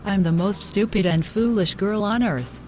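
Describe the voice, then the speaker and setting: A woman's voice: medium pitch, moderate pace, darkish tone, a little hiss or hum, close to an everyday voice. A woman playing a distraught, self-reproaching young woman in a scripted moral-story drama. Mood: self-loathing, anguished.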